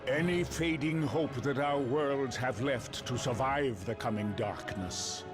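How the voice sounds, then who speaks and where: A man's voice: medium pitch, measured pace, over loud music, close to an everyday voice. An actor playing a stern, majestic old Norse god-king in a game cutscene, speaking in a grandiose, archaic, commanding way. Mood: grave, solemn. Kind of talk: theatrical game cutscene acting.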